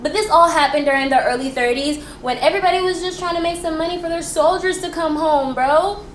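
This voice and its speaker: A woman's voice: very high-pitched, a little fast. A young American woman with a playful, theatrical, slangy manner, chattily presenting history as a student host and throwing out rhetorical questions. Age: young adult. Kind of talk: chatty, informal history presentation for a school project. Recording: echoey room with faint background noise.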